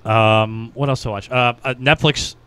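A man with a clear, smooth voice at a middle pitch talks casually as a podcast co-host. He thinks aloud in a halting way. He is close to a studio mic. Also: steady tone, bright, forceful tone. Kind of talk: casual chat.

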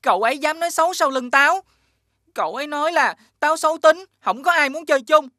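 A high bossy little schoolboy. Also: female voice, bright, forceful tone, dry recording, clean studio sound. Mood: earnest. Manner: blunt, quick to complain. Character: young schoolboy, bossy but caring. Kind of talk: cartoon voice acting.

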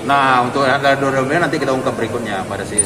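Middle-aged male prosecutor, halting explainer, recorded on handheld phones in an echoey hall. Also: mid-range voice, distant mic. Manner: halting, restarts mid-word, explaining patiently. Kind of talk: press doorstep interview. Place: indoor courtroom hallway. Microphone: reporters' phones held close. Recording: echoey room, loud background noise.

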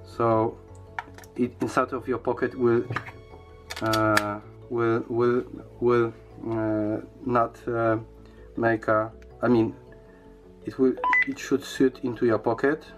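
Guy doing casual unboxing review, mid pitch, hesitant and slow, music in background. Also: flat delivery. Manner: halting, hesitant delivery with repeated words. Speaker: product reviewer.

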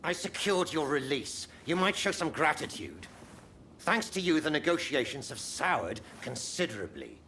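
A man's voice: fairly high pitch, sing-song, moderate pace, sharp tone, a little hiss or hum, full character voice, poor audio. A man playing a haughty, reproachful negotiator in a video game, with a curt and condescending manner. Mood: irritated. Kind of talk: video game cutscene acting. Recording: game audio with background ambience.

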